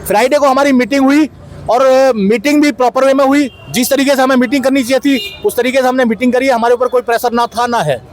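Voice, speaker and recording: A man's voice: extremely high pitch, fairly even intonation, fast. A union president, insistently answering a reporter's questions at an outdoor protest, repeating his points. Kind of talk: on-the-spot protest interview. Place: outdoor protest site by a street.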